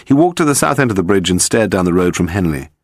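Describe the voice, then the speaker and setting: A man's voice: deep voice, sing-song, fast talker, dry recording, studio quality. A man reading scripted narration of a crime story as an audiobook narrator.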